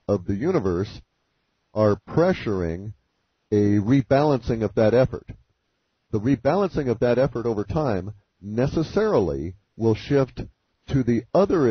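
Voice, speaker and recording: A man giving formal, deliberate, technical explanations as an interview guest on a conference call. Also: husky, dry recording. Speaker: interview guest presenting his forecasts. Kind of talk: measured conference-call exposition. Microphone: conference call line. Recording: muffled, low-fidelity conference call.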